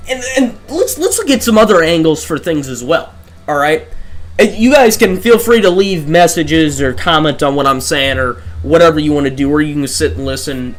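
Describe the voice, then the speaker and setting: An exhausted man streaming a game and rambling through rhetorical questions into a desk microphone. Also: a little high. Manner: talks through his thoughts with many rhetorical questions and restarts. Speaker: gaming livestreamer. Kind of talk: rambling live-stream rant.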